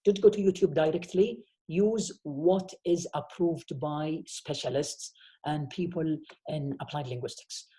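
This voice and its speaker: Male professor, mellow tone. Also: fairly high pitch, a little slow. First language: Arabic. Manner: explanatory, frequent "okay?" checks. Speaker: university professor. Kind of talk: online lecture. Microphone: video call.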